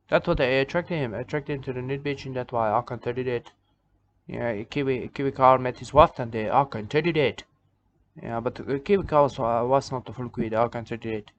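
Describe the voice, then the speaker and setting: A man playing an odd male preacher of a nudist church, who talks in halting broken English and keeps repeating himself. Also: mid-range voice, lightly acted. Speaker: self-styled church leader. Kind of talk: rambling comic monologue. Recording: dull lo-fi recording.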